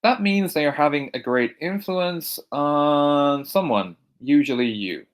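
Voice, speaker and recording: A man's voice: on the high side, expressive. Man teaching vocabulary, American-sounding accent. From Ireland. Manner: repeats words slowly and clearly for learners. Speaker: English teacher. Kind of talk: recorded vocabulary lesson.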